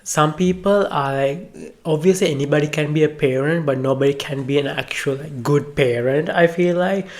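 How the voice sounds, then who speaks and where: A woman's voice: low voice, normal speed. Blunt, informal woman podcast co-host. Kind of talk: casual opinionated chat.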